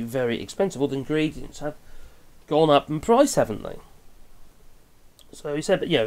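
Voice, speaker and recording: A man in his fifties with an English accent, giving casual, opinionated commentary in a rambling, chatty way, often correcting himself. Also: medium pitch. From England. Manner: rambling, chatty, with tag questions and self-corrections. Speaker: vlogger commenting on news. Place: small wooden cabin room with open window.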